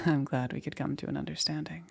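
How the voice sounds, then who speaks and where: A woman plays a soft-spoken witch in an ASMR roleplay, coaxing and soothing. Her low voice is very breathy and often drops to a whisper, with a creaky edge. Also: steady tone, full character voice. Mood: coaxing, knowing. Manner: slow, hushed, soothing and gently persuasive. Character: soft, coaxing witch, a caring self-confessed monster.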